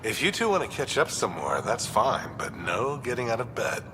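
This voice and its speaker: An actor playing a firm, fussing doctor in a sci-fi video game. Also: male voice, huge pitch swings, normal speed. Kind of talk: naturalistic video game cutscene acting. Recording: echoey reverb with faint rumble.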